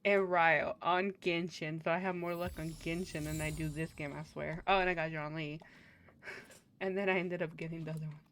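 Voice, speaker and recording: A young woman game streamer with a slightly low voice, rambling informally and grumbling as she plays. There is faint noise behind her live-stream chat. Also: steady tone, normal speed, fairly bright tone. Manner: informal, sweary, rambling complaints. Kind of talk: casual live-stream chat over gameplay.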